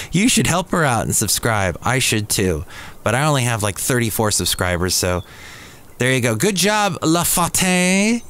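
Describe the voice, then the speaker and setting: A man's voice: medium pitch, a bit bright, clear, resonant tone. A man hosting a podcast in a café, chatting casually as he introduces new songs and reads emails aloud. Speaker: podcast host.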